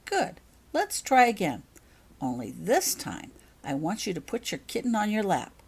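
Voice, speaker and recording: A woman's voice: medium pitch, wildly swooping pitch, unhurried, breathy edge, heavily acted. A woman voicing a kindly but firm school healer witch in a storybook reading, patiently instructing and encouraging. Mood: gently coaching.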